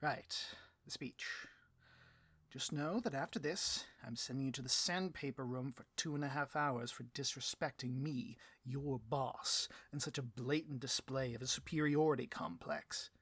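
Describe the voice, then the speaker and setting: A man's voice: medium pitch, steady tone, normal speed, a bit bright, husky, dry recording. A man playing a bloviating, petty supervillain boss in a comedic audio roleplay, with a pompous, melodramatic delivery and a taste for rants. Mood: self-important.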